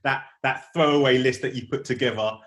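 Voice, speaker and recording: A man's voice: mid-range voice, fairly even intonation, brisk. A man co-hosting a podcast in casual, slangy banter. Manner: slangy, chatty. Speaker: podcast co-host.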